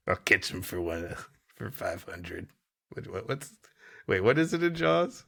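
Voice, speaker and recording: A man's voice: sits low, sing-song, slow, gravelly, a bit bright. A man co-hosting a comedy podcast, bantering with his partner and reading stories aloud playfully. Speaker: podcast co-host.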